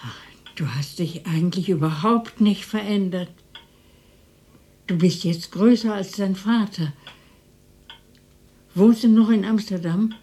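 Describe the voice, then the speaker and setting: A woman's voice: mid-range voice, a little slow, long pauses, mellow, lightly acted. An actress playing a lonely, motherly elderly neighbour in radio drama dialogue, with a fussing, hospitable manner. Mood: gentle, hospitable. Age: older.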